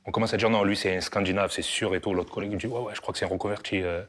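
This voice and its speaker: Chatty low-voiced man telling stories. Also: steady tone, racing, a bit bright. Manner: chatty, with many fillers. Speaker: childhood friend. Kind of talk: casual interview.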